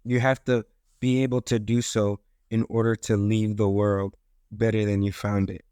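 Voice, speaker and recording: A man from South Africa, an educator and podcast co-host, speaking in an earnest, measured way. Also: on the low side, flat delivery, warm tone, clean tone, dry recording, clean studio sound. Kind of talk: reflective podcast monologue.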